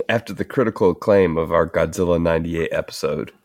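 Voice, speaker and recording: A man co-hosting a casual podcast, playful and quick to chuckle. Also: low-pitched, gravelly, no room sound, studio quality. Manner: chuckling, playful, stumbles into restarts. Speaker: podcast co-host.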